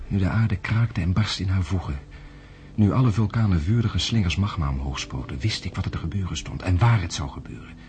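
An actor narrating a radio play as a weary male astronaut, grave and measured. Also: sits low, lightly acted. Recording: music under the voice.

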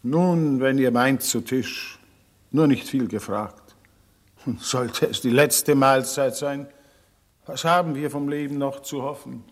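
A man's voice: mid-range voice, takes it slowly, natural voice. An actor playing a weary shipwrecked king, solemn and declamatory, in a classic radio play. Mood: resigned.

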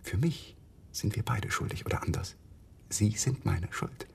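An actor playing a guilt-ridden, stubborn young lawyer in a radio crime drama, speaking earnestly. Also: male voice, fairly low pitch, animated, unhurried, vocal fry, darkish tone, slight background noise, full character voice. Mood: anguished, confessional.

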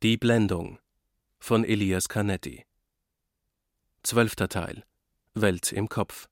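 A male announcer with a fairly low voice reads scripted credits. He speaks slowly in a dry studio. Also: steady tone, dry recording. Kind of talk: scripted radio credits reading.

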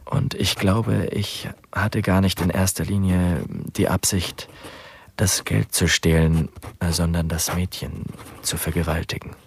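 An actor in a radio drama playing an accused murderer who confesses in a halting, matter-of-fact way, his voice gravelly and husky. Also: deep voice, steady tone, slight background noise. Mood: bleak, confessional. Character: accused murderer, man, slow, bleakly confessing his intentions. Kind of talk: German radio drama acting, monologue.

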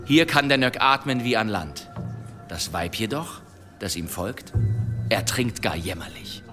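Travelling demon-tale teller, theatrical, lively swooping pitch, suspenseful pauses, radio drama, music behind. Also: male voice. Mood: ominous, suspenseful. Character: theatrical wandering storyteller of demon tales. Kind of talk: radio play acting, tavern storytelling.

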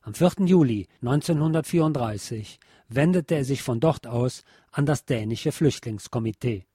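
Dark, husky male narrator. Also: medium pitch, moderate pace, dry recording, studio quality. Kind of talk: scripted biographical narration.

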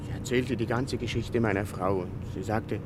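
An actor playing an agitated, impatient singer confiding in the police in a radio crime drama. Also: male voice, normal speed, lightly acted. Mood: urgent, confiding. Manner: impatient, urgent. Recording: noisy car interior, engine rumble. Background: vehicle rumble, traffic.

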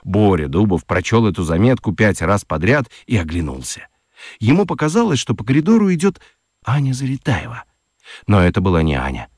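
A man narrating a scripted children's radio story as an expressive storyteller. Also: on the low side, brisk, slightly rough, no echo, clean studio sound.

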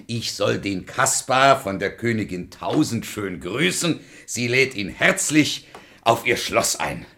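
A man's voice: on the low side, sing-song, slow, gravelly, bright, forceful tone, exaggerated voice. A man playing a servile, cowardly royal huntsman in a radio fairy tale, with an obsequious, theatrical manner. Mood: falsely friendly. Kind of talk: radio play acting for a children's fairy tale.

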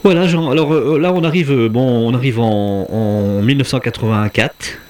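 A man hosting a relaxed radio interview, agreeable, with lots of short affirmations. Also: mid-range voice, normal speed. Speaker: radio host.

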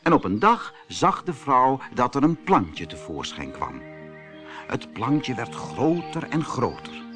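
Male storyteller, children's fairy tale, expressive, unhurried, highly varied pitch, faint music behind. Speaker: storyteller, audiobook reader.

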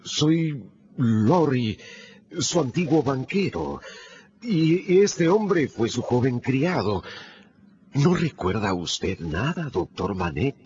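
An actor in a radio drama playing a courteous banker, asking questions gently and hesitantly. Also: male voice, medium pitch, big pitch swings, slow, highs cut off, slight background noise. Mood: gently probing. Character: courteous, careful English banker.

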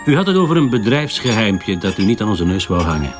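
A man's voice: fairly low pitch, very animated, fairly quick, music in the background. A man with a Flemish accent playing an inquisitive police detective in a crime drama, asking polite but pointed questions. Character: inquisitive male police detective assisting the commissioner. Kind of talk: radio crime drama dialogue.

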